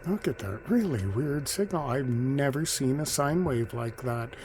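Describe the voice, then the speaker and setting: A man giving casual, off-the-cuff commentary as a radio hobbyist, in a halting, musing way. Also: mid-range voice, expressive, darkish tone. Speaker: radio hobbyist narrating a video. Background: shortwave radio noise.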